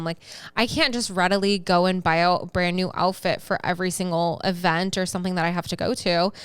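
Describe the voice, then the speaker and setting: A woman in her twenties co-hosting a casual podcast, chatty and animated, laughing easily as she talks. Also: mid-range voice, clean tone, dry recording. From United States. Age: 20s. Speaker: podcast co-host.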